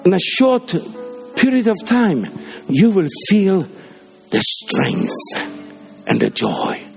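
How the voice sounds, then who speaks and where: Fervent preacher, gravelly and lively. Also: male voice, high voice, slow, soft background music. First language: Danish. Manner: fervent, storytelling, with rising exhortations. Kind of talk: sermon. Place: church service.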